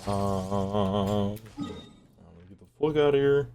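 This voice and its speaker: A man's voice: lowish voice, extremely slow. Slangy man streaming over game music. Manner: slangy, swearing, talking to chat. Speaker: livestreamer. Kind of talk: casual gaming stream chat. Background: video game music and sounds.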